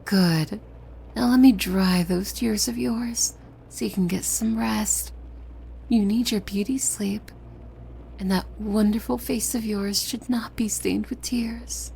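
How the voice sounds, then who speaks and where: A woman's voice: medium pitch, steady tone, slow, creaky, dark tone, slight background noise, rough recording. A woman playing a possessive, doting demon maid in an ASMR roleplay, speaking formally and servilely with menace underneath, often slipping into a whisper. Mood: possessive, stern, then tender, controlling.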